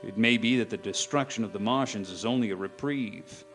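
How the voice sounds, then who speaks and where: Man acting a grave, forceful professor narrator. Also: lowish voice, faint music behind, natural voice. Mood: solemn, reflective. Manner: solemn, deliberate, theatrical. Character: grave, haunted male professor recounting his survival. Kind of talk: dramatic narration in a stage radio play.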